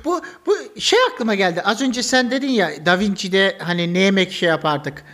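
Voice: medium pitch, animated, moderate pace, a bit bright. A podcast co-host, chatting casually and humorously and explaining things with rhetorical questions.

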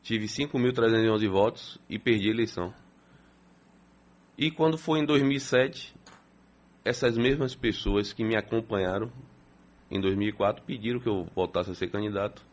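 Man, 50s, low voice, steady plain-spoken council president being interviewed. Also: normal speed. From Salvador, Brazil. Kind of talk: earnest interview answers.

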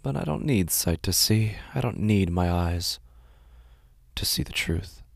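A man playing an unhinged narrator in a first-person horror audio drama, speaking in a halting, intense, dramatic way and repeating phrases. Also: deep voice, animated, creaky, dry recording, studio quality, exaggerated voice. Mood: hushed, eerie certainty. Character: unhinged man narrating his horrifying loss of sight.

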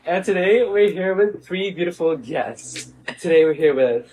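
A man's voice: a little high, expressive, normal speed, far from the mic, background noise. A young man hosting a casual podcast chat, talking loosely with lots of fillers and playful quoted voices. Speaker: podcast host.

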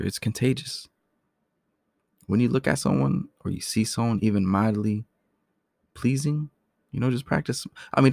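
Raspy low man, gravelly and chatty. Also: no echo. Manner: clears throat often, loose and chatty. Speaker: podcast host. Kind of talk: casual solo talk.

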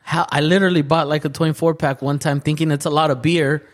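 चुटकुले करता, बातूनी आदमी. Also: male voice, a little high, fast talker. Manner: animated, teasing, switches between English and Spanish. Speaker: podcast co-host. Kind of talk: casual chat among friends.

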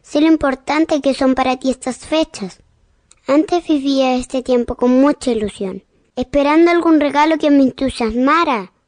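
A woman's voice: high voice, moderate pace, darkish tone, no room sound, close to an everyday voice. A woman playing a serious, disillusioned seven-year-old daughter in a radio drama, speaking earnestly and wistfully. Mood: subdued, sincere.

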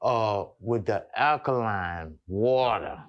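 A man's voice: lowish voice, slow pace, lo-fi sound. A man in his fifties giving an enthusiastic testimonial, often repeating and restarting his words. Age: 50s. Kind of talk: casual testimonial chat.

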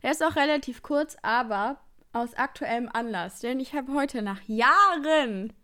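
A young woman co-hosting a podcast, talking casually and lively, with frequent laughs. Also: high voice. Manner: lively, laughs often, drawn-out emphasis. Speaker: podcast co-host. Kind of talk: casual chat.

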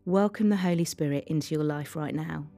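Low-voiced woman reading a devotional, mellow tone. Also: a little fast. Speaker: devotional reader. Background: faint music.

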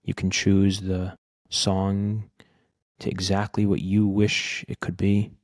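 A man leading a guided meditation in a gentle, unhurried manner, speaking in short phrases with long pauses. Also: sits low, completely flat delivery, dry recording, clean studio sound. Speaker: podcast host guiding a meditation.

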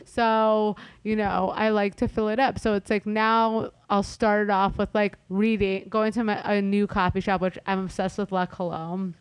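A woman's voice: medium pitch, level tone, normal speed, few pauses, clean tone. Chatty young LA woman podcaster. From Los Angeles. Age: young adult. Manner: chatty, filler-heavy, bubbly. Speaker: podcast co-host. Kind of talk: casual podcast chat.

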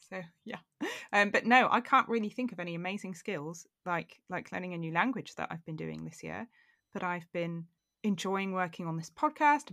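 A woman's voice: fairly low pitch, fairly bright tone, no room sound, clean studio sound. Rambling woman podcast co-host. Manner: rambling, reflective. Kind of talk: casual podcast chat.

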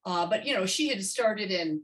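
A woman's voice: medium pitch, lively intonation, normal speed, poor audio. A woman hosting a career podcast, chatty and affirming, sharing her own anecdotes with her guest. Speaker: podcast host. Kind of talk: conversational interview.

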